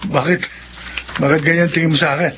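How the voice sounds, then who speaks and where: A man's voice: a little high, flat delivery, a little fast, bright, forceful tone. A man acting in a Filipino radio drama as an evasive, defensive village man with a secret. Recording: dull lo-fi radio drama, faint noise.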